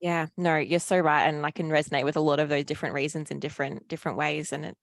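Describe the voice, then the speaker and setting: Woman host, slightly low voice, dry sound, casual rambling questions. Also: flat delivery, quick pace, vocal fry, no room sound. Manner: chatty, hesitant, many fillers and repeats. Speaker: podcast host. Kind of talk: casual interview chat.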